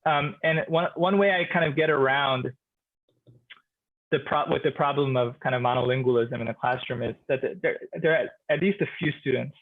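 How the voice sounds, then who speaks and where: Gravelly man on a tinny call. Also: mid-range voice, a little fast. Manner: thoughtful, hesitant, many restarts and ums. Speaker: literature professor, guest. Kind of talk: casual expert discussion.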